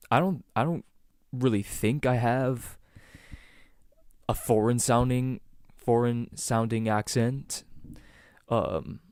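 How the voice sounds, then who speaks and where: A man's voice: on the low side, a little mellow, clean tone, dry recording. Rambling Canadian man, dry close sound. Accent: Canadian, Greater Toronto Area. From Southern Ontario, Canada. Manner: rambling, many ums and restarts. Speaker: podcast host. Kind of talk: casual solo podcast monologue.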